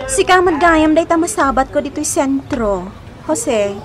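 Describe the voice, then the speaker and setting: A woman's voice: sits high, expressive, a little slow. A woman playing a troubled, trusting young woman in an Ilocano radio drama, with an Ilocano accent, on an old recording. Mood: questioning, suspicious. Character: troubled, trusting young woman worried about her boyfriend, Meli. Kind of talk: Philippine radio drama acting.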